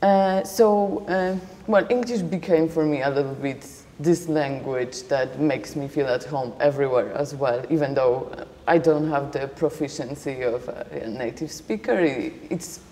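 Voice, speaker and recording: Low, dark-voiced woman in a hall. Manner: measured, reflective, with frequent fillers. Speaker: panel guest. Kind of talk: panel discussion. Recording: long hall reverb, faint noise.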